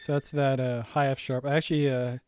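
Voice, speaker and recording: Young man thinking aloud, raspy, halting. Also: medium pitch, level tone. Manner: halting, many ums and restarts, thinking aloud. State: voice getting raspy. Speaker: saxophonist walking through his own multitrack arrangement. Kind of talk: casual screen-share breakdown.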